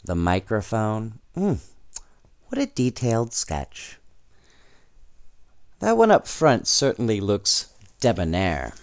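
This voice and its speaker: A man reading a children's storybook aloud in an expressive, unhurried way, with casual asides. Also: fairly low pitch, no echo, clean studio sound. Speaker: storybook reader.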